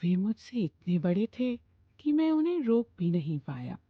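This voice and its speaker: A small, bullied schoolboy , voiced by a woman, speaks close to tears in a cartoon. The voice is lifted a little and husky. The recording is dry and slightly dull. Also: medium pitch, very animated, moderate pace, no echo. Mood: tearful. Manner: near tears.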